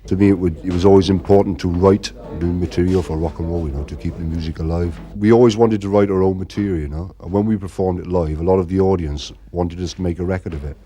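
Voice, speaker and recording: A man's voice: low voice, fairly even intonation, quick pace, few pauses, warm tone. A middle-aged man from Ireland, the band's frontman, talking in a casual interview and rambling with constant "you know" fillers. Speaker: band frontman.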